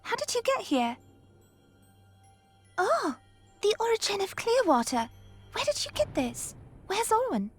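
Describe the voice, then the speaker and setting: A woman's voice: extremely high pitch, sing-song, measured pace, long pauses, soft background music, heavily acted. A woman voicing a pert, impatient young girl companion in a fantasy game, inquisitive and bossy. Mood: puzzled.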